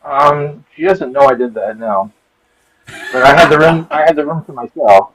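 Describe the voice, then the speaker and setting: A man hosting a live show, talking in a rambling, halting way with many restarts. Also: on the high side, a bit bright, slightly rough, low-quality recording. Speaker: show creator and host. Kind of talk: casual chat on a live show.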